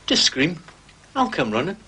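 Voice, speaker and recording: An actor playing a gruff, impatient manservant in a period mystery drama, blunt and scolding. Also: male voice, a little high, sing-song, normal speed, pushed and bright, slight background noise. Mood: brusque, scolding.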